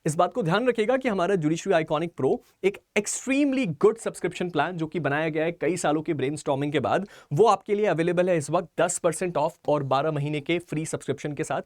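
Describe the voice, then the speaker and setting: Male lecturer, high voice, dry sound; emphatic explainer for exam students, switching into English for key terms. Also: brisk, few pauses, no echo. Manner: emphatic, mixes English legal terms into Hindi. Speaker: law exam coach.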